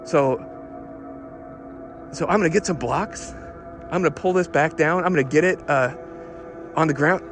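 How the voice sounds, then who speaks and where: A man acts out an obsessive car fanatic in a fairly high male voice, with music playing underneath. He rambles through an audio diary, stammering and restarting with breathless eagerness. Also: normal speed, long pauses, lightly acted. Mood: fixated, uneasy. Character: excitable, obsessive man smitten with his old car.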